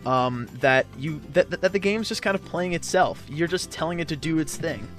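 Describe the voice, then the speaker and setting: A man's voice: on the high side, expressive, moderate pace, a bit bright, faint music behind. A man giving casual, chatty, joking gameplay commentary in a rambling way, as on a let's play.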